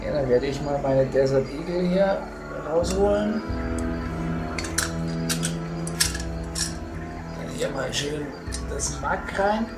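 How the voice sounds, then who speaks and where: A man's voice: a little high, unhurried, natural voice. A man playing a chummy, trigger-happy trophy hunter in an amateur horror audio drama, tossing English slang into his talk. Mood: casual, plotting. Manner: slangy German sprinkled with English words. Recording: roomy sound with loud music.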